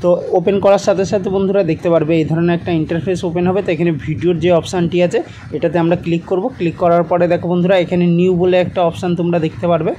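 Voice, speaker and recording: A man with a high-pitched voice hosts a how-to video at a quick clip. He keeps addressing the viewers directly as he narrates the steps. The home-made recording has noticeable background noise. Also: mellow. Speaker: tutorial channel host. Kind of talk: scripted-sounding app tutorial narration.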